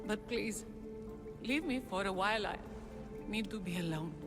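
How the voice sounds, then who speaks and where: A woman's voice: medium pitch, normal speed, big-room sound, close to an everyday voice. An actress playing a proud young woman leader, teasing and intense, in a game cutscene with music. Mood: grieving.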